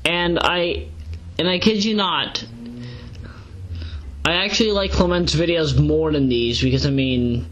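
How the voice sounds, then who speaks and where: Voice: medium pitch, a little slow, long pauses. A person giving a casual, rambling review as an audio log, often repeating and correcting themself, recorded at home. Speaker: amateur online reviewer. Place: at home, moving between kitchen and bedroom. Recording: muffled, noisy home audio with fan. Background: fan running.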